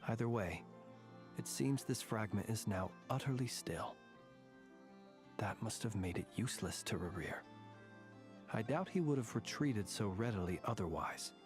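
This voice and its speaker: An actor voicing a formal, world-weary soldier-scholar who talks in a wordy, dryly wry way. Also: male voice, on the low side, animated, unhurried, long pauses, over music. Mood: resolute. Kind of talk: game voice acting.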